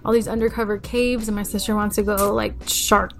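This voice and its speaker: A woman's voice: medium pitch, flat delivery, fairly quick, gravelly. A young woman chatting casually as a podcast co-host, swearing freely and acting out her own past remarks. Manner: chatty, swears freely, quotes herself in asides. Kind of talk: casual chat. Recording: music playing behind the talk.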